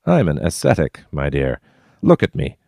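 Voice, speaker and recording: A man's voice: rumbling low, wildly swooping pitch, moderate pace, vocal fry, warm tone, no echo, studio quality, heavily acted. A man voicing an easygoing wandering prospector who muses wryly. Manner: wry, musing.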